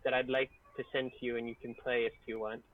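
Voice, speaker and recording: A man's voice: medium pitch, little pitch movement, fast talker, phone-quality sound. A man chatting casually and jokily as a co-host on a group livestream. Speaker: audio editor and co-host on a stream.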